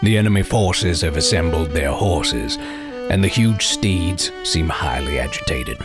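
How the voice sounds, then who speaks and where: A man's voice: low voice, expressive, unhurried, voice pushed down. A man playing a grave, earnest soldier narrating a Civil War letter to a sweetheart like a period documentary. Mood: solemn, mournful. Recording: folk fiddle music behind the voice.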